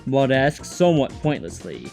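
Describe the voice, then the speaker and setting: A man serves as narrator, reading a scripted story. His voice sits at an average pitch, with faint music underneath. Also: huge pitch swings, moderate pace, vocal fry, darkish tone.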